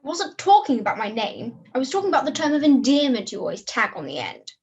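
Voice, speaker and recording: A woman's voice: sits high, wildly swooping pitch, fast talker, a little mellow, exaggerated voice. A young woman voicing a fussy, pedantic badger puppet with a prim, precise manner, over a video call. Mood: prim, irritated. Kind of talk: amateur puppet play dialogue. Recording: muffled video call audio.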